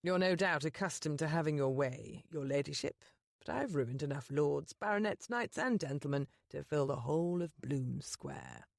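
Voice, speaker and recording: A woman voicing a blunt, arrogant young gentleman in a lowered, cool and clipped voice for an audiobook. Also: moderate pace, creaky, no room sound, studio quality. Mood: cocky.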